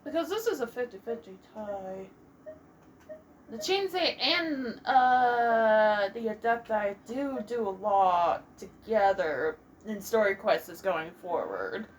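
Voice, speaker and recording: A woman's voice: mid-range voice, animated, slow, a little hiss or hum. A woman giving casual let's play commentary, thinking aloud in a hesitant way.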